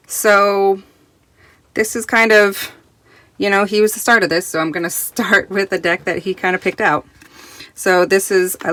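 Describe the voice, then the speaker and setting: A woman reviewing a tarot deck in casual, rambling chat full of restarts, recorded in a quiet closet at home, her voice still recovering from being lost. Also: on the low side. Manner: chatty, rambling with many restarts and fillers.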